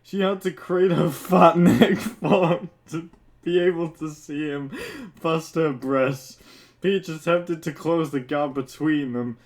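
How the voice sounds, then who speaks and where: A man's voice: a little high, slow. Amused man reading fan fiction aloud. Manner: amused, crude, slightly stumbling delivery. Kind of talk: comic read-aloud with asides.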